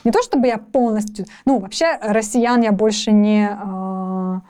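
A woman's voice: mid-range voice, unhurried, dark tone. A young woman from Ukraine, a guest in a conversational interview, speaking thoughtfully with frequent restarts and hesitations.

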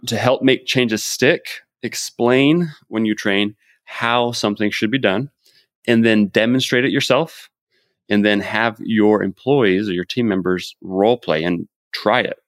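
A man's voice: on the low side, a little slow, a bit bright, dry recording. A man hosting a podcast, chatting and summing up advice in an upbeat coaching tone. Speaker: podcast host. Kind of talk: casual podcast chat and summary.

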